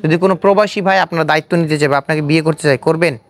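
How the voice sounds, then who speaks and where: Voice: medium pitch, fairly even intonation, rapid-fire, no room sound. An interviewer with a Bangladeshi accent, hosting a casual interview and probing by repeating answers back as questions. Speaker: interviewer and host. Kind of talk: casual on-camera street-style interview.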